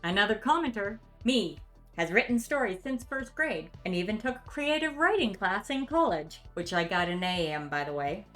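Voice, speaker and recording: A woman's voice: medium pitch, animated, normal speed, fairly bright tone. A middle-aged woman hosting a dramatic reading of comments in a theatrical, sarcastic manner. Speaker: host. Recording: music playing behind the voice. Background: background music.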